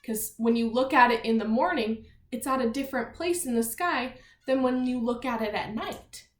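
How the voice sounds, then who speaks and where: A woman's voice: fairly high pitch, normal speed. A woman teacher reading aloud to young children, explaining things simply and thinking aloud as she goes. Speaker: primary school teacher. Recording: echoey room.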